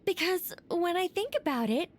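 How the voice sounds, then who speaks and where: A high, lively, genteel lady artist. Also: moderate pace. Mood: pleased. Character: genteel, enthusiastic amateur sculptor woman, airy and modest. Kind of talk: video game character acting.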